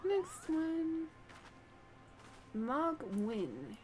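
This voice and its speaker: A woman's voice: high voice, wildly swooping pitch, drawn-out words, darkish tone. A woman game streamer giving casual live commentary as she plays and reads quests aloud.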